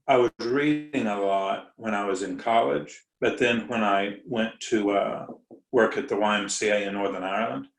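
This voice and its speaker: A man from the Southern US, a writer, speaking thoughtfully and hesitantly, with pauses. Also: fairly low pitch, gravelly, echoey room, low-quality recording. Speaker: writer, academic. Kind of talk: casual conversation.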